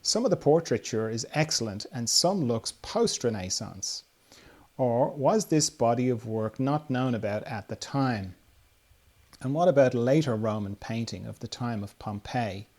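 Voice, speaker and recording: A man with a mid-pitched voice hosts a relaxed podcast chat. He talks in a halting, meandering way, working out his thoughts as he speaks. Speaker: podcast host. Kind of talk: casual conversation, reading listener questions.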